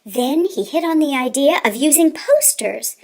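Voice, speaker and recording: A woman reading a children's story aloud in an expressive, deliberate way, with theatrical emphasis. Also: high-pitched.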